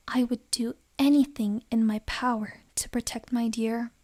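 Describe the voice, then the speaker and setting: A woman plays a wealthy, possessive noble lady for an ASMR romance roleplay. Her voice is fairly high and very soft-edged, with breathy, unhurried, refined phrasing. A gentle politeness covers her menace. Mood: indignant, devoted.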